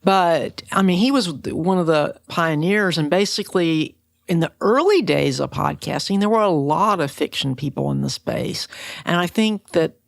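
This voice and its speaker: An American woman hosts a podcast interview in a conversational style. Her voice sits low and has a strong creak. The sound is close and very dry. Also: expressive, moderate pace, dry recording.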